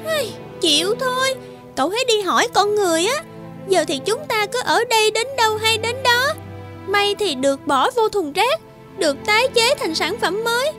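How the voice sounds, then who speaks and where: A woman's voice: extremely high pitch, expressive, normal speed, over music. A woman voicing a blunt, world-weary discarded juice bottle in a children's cartoon, scolding and matter-of-fact. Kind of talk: animated children's cartoon acting.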